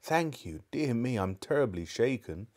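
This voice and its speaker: A man voicing a shaken, faint-voiced elderly gentleman in a storybook reading. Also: mid-range voice, big pitch swings, normal speed, natural voice. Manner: faint, fussy.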